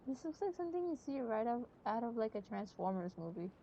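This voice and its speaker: A woman's voice: very animated, quick pace, warm tone, lo-fi sound. A young woman chatting casually as she plays a game, with slangy, teasing talk. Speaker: gamer playing a story quest. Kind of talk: casual chat over gameplay. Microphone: low-quality mic on an online voice call. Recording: low-quality mic with other voices behind.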